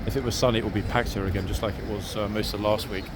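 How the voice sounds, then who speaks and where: A man's voice: lowish voice, fairly even intonation, very fast. A man vlogging outdoors, chatting freely with a visitor and stressing points with repeated words. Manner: chatty, emphatic repeats like "very, very rare". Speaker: vlogger filming a ceremony. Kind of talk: casual chat to a viewer and camera. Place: outdoors at a busy city parade ground. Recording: loud outdoor street noise.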